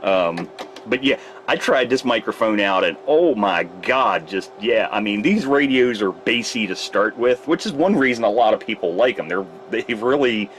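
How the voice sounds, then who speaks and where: A man's voice: on the low side, lively intonation, normal speed, a bit bright, slight background noise, low-quality recording. A man who repairs radios, casually explaining his test setup step by step in a workshop. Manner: rambling, explains gear step by step. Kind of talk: casual technical demonstration. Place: repair shop workbench with test gear.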